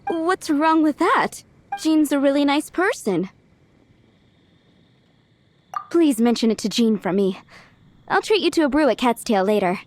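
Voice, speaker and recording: A woman's voice: high-pitched, lively intonation, moderate pace, darkish tone, a little hiss or hum. A woman voicing a polite, earnest tavern keeper in a video game. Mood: hopeful.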